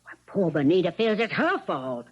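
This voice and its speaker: Worried older aunt, gossipy country talk, 1970s radio drama, dull recording. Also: female voice, lowish voice, very animated, moderate pace. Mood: fretful.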